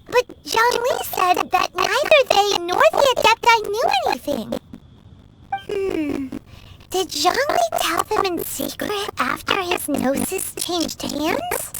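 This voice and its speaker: A woman voicing a small childlike fairy companion in a video game, excitable and curious, exclaiming often. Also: very high-pitched, takes it slowly, heavy background noise, heavily acted. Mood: puzzled, suspicious. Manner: refers to self in third person, exclaiming. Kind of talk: animated video game dialogue. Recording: loud game music behind the voice.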